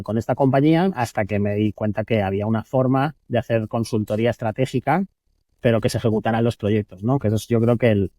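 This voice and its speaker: Mellow Madrid-born man, relaxed storyteller. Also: mid-range voice, no echo. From Spain (Madrid). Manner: relaxed, self-correcting, repeats words while thinking. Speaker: guest entrepreneur. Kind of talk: casual interview storytelling.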